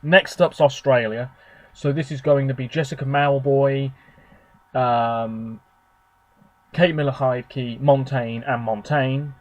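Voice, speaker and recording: Slightly hoarse man rambling about favourites. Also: medium pitch, fairly even intonation, slow. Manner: rambling, chatty, opinionated. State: hoarse, sore throat. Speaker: video host. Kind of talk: casual solo commentary.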